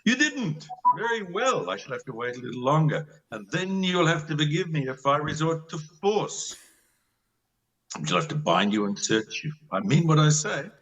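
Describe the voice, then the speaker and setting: A man's voice: on the high side, very animated, moderate pace, fairly bright tone, distant mic, low-quality recording. A man playing an exasperated, sardonic country doctor in an amateur play reading, speaking bluntly and mockingly. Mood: insistent.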